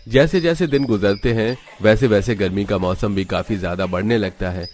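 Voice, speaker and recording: Low-voiced man narrating a story. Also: moderate pace, a little mellow, slight background noise. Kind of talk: scripted cartoon story narration.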